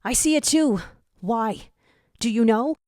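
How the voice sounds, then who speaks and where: A woman's voice: a little high, lively intonation, measured pace, a little mellow, no room sound, clean studio sound, voice pushed up. A woman voicing an earnest, helpful young male hedgehog hero in a fan fiction story, speaking in a reassuring manner. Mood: defiant.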